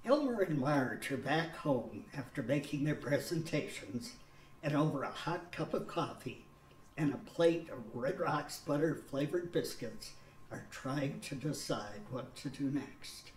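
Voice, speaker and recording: An older man in a bow tie announcing an old-time-style radio show in a measured tone. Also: on the high side, animated. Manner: slow, measured announcer delivery. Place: studio room with a dark backdrop. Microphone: stand mic on a tripod. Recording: roomy, distant, with background hiss.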